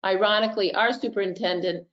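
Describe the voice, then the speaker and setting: A woman speaks over dull online meeting audio in a middle pitch. She is a nurse chatting casually and frankly, with a slightly halting delivery. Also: fairly even intonation. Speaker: school nurse on a panel.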